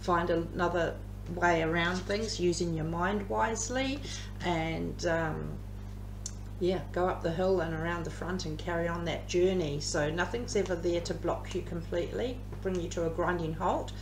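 A woman in her fifties with an Australian accent and a slightly croaky voice, chatting her way through a tarot card reading at home, rambling with plenty of ums. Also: fairly low pitch, normal speed. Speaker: tarot reader. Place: living room at home. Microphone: camera across the table. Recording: echoey room with background hum.

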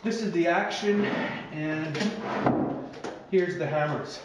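A man's voice: fairly high pitch, takes it slowly, lo-fi sound. Male technician, 50s, casual explainer for a DIY vlog, strongly reverberant room, noisy camera audio. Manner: plain-spoken, explanatory. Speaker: piano technician. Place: small indoor piano practice room. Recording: very echoey room, clear background noise.

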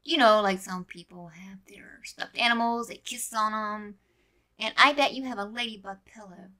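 A woman voicing a teasing, wistful teenage boy in a fan audio drama, breathy and often near a whisper, in a confiding manner. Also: mid-range voice, normal speed, sharp tone, close to an everyday voice.

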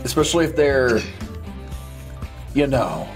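A man's voice: mid-range voice, expressive, measured pace. A man giving a joking mock lecture on a group stream, crude and giggly. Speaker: streamer giving a joke slideshow talk. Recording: music playing behind.

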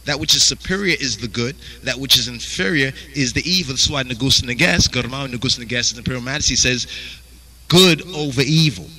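A man's voice: mid-range voice, brisk, bright, forceful tone, poor audio. A man teaching a Bible study, reading verses aloud and asking "you understand?" after nearly every phrase. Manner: repeats "you understand?" constantly.

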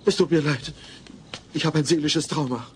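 An actor playing a fearful, melodramatic and indignant neighbor in a German sitcom audio play. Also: male voice, fairly high pitch, moderate pace, lightly acted. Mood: panicky, indignant. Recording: faint hiss, old cassette audio.

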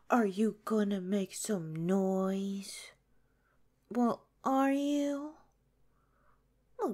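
Yandere nurse girlfriend, female performer, ASMR roleplay: mellow, very drawn-out pace, breathy whispers, coy, possessive, sweetly threatening. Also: mid-range voice. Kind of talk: ASMR roleplay, soft intimate drawn-out delivery.

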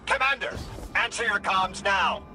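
An actor playing an intense, blunt guide in a video game, with an urgent, challenging way of talking. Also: male voice, high-pitched, a little slow, music in the background. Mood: frustrated. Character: male guide tracking his commander, intense, defiant, blunt.

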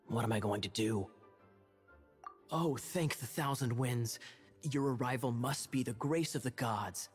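A sly, teasing knight captain. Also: male voice, medium pitch. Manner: smooth, theatrical, playfully mocking. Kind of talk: game cutscene dialogue.

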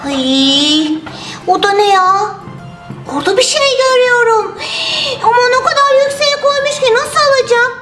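A woman's voice: very high-pitched, animated, normal speed. A woman voicing a playful, greedy toy turtle in a children's toy story, exclamatory and sing-song. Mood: excited. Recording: roomy sound with music underneath.

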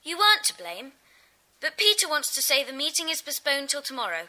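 A woman's voice: sits high, big pitch swings, a little fast, pushed and bright, heavily acted. A woman playing a spirited, outspoken schoolgirl in a children's audio drama, with emphatic delivery. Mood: friendly.

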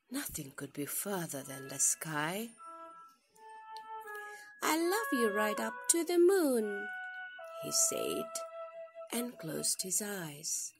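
Slow, expressive woman reading a storybook. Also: fairly low pitch. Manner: expressive, deliberate phrasing for children. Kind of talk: children's picture-book read-aloud. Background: music under the reading.

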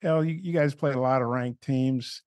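A man's voice: mid-range voice, normal speed, a little mellow, no room sound. A man working as a reporter, asking rambling, conversational questions at a press conference. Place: indoor press room.

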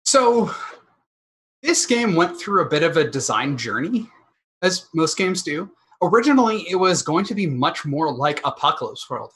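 A woman's voice: extremely low pitch, a bit bright. A woman from Montreal, a game designer, answering interview questions over a video call with dry understatement. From Montreal, Canada. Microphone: headset on video call.

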